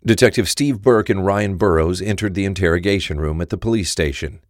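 Deep-voiced man narrating crime fiction. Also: brisk, dry recording, clean studio sound. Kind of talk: scripted crime story narration.

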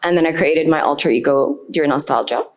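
A woman artist from Lebanon speaks on a stage panel. Her voice is very low for a woman, and she talks in an earnest, reflective way. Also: fairly quick. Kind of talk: panel talk. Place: event stage.

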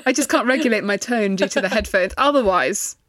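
A woman co-hosting a podcast in casual chat, telling animated stories. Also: medium pitch, brisk, no echo. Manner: chatty, animated storyteller. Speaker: podcast co-host. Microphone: headphones, studio mic.

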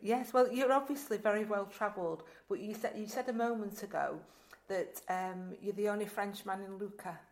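A woman's voice: mid-range voice, moderate pace, darkish tone. A woman hosting a casual, conversational interview, often rephrasing and affirming what she hears. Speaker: interview host. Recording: echoey, roomy sound.

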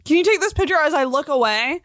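A woman's voice: high-pitched, animated, quick pace, noisy background. A woman co-hosting a podcast in playful, chatty, comedic conversation. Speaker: podcast co-host. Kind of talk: casual comedic chat.

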